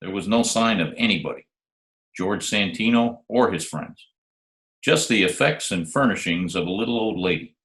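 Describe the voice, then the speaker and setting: A man playing a smooth, wisecracking hardboiled private detective in an old-time radio drama. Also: low voice, lively intonation, moderate pace, fairly bright tone. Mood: dry, wry narration. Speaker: lead actor and narrator. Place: home, remote video call recording. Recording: roomy, echoey remote home recording.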